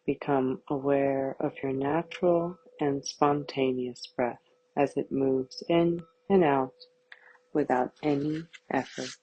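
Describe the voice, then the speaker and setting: A woman guiding a yoga nidra meditation with calm, soothing, measured scripted instructions. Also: extremely low pitch, poor audio.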